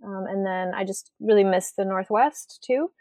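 A woman's voice: medium pitch, normal speed, a little mellow, no room sound. American woman chatting in a dry-sounding interview. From rural western Washington state, USA. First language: Swedish. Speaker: podcast guest, writer and artist. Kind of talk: casual conversational interview.

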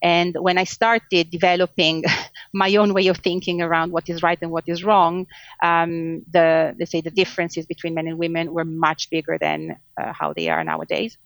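A woman's voice: lowish voice, normal speed, no room sound. A woman with an Italian accent answering interview questions in a reflective, conversational way, feeling for her words. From Italy. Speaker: podcast guest, marketing manager. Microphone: video call.

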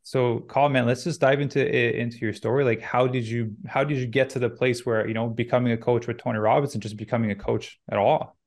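A young adult man hosting a podcast, talking in an upbeat, quick way with frequent restarts. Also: medium pitch, fairly even intonation. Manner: upbeat, fast, many restarts. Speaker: podcast host. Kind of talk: podcast intro and interview.

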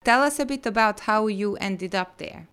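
A woman's voice: mid-range voice, moderate pace, fairly bright tone, clean tone. A woman hosting an interview podcast, reading friendly introductions and asking questions with slight hesitation. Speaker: podcast host.

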